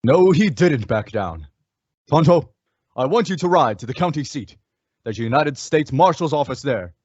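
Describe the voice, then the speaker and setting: A man's voice: fairly high pitch, lively intonation, moderate pace, a little mellow, dry recording. Man acting resolute western radio hero, muffled recording. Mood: calm, resolute.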